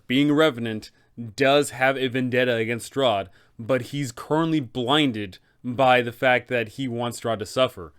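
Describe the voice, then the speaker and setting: Smooth-voiced man giving game master advice. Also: on the high side, a bit bright. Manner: enthusiastic, addresses viewers directly. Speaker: game master guide host. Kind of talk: scripted narration for game masters.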